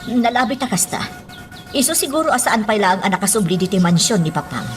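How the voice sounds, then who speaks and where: A woman's voice: medium pitch, animated, quick pace, soft background music, close to an everyday voice. A woman acting a worried wife and mother in an Ilocano radio drama, emotional and full of questions. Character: worried wife and mother confronting her husband.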